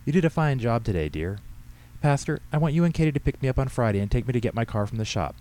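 A man's voice: medium pitch, expressive, fast, a little mellow, pitched above the performer's own voice, close to an everyday voice, poor audio. A man voicing a bossy, cantankerous old woman who huffs and scolds in an audiobook story. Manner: huffy, scolding. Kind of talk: audiobook character dialogue.

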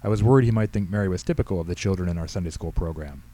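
Storybook pastor, middle-aged man, acted by a male reader: sheepish, earnest, low and very mellow. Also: rapid-fire, lightly acted. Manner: mumbling, earnest, embarrassed.

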